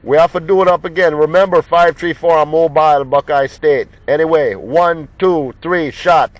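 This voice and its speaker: Higher-voiced man calling over noisy CB radio. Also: normal speed. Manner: repetitive, jocular, folksy banter. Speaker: CB radio operator. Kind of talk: radio contact calling, repeated call signs and CB slang. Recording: muffled lo-fi sound with loud radio noise, clicks. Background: CB radio noise and clicks.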